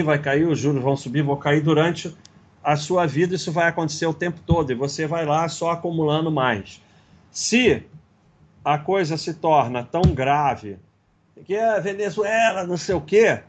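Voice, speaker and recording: A man's voice: fairly high pitch, husky. A middle-aged man with a Brazilian Portuguese accent hosting a live investing stream, lecturing bluntly and sarcastically while going through slides. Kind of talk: casual livestream talk, reading slides.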